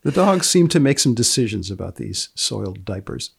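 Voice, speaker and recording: Gravelly, deadpan male podcast host. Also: fairly low pitch, normal speed, dry recording. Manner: dry, deadpan questioning. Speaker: podcast host and magazine editor. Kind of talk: interviewing a guest about a research paper, scripted sign-off.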